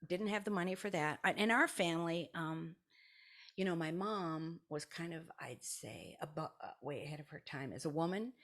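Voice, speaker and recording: Deep, husky American woman in her sixties. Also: moderate pace, a bit bright. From South Dakota, United States. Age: 60s. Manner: rambling, self-interrupting, anecdotal with quoted dialogue. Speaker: podcast guest, retired kindergarten teacher. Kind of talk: casual conversational storytelling.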